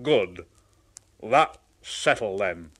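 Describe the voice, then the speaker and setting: Man doing a big hearty engine, deep and booming, chuckling, slow storybook delivery. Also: lively intonation, fairly bright tone, breathy edge, full character voice. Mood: warm. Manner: jovial, chuckling. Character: big proud hearty male express engine.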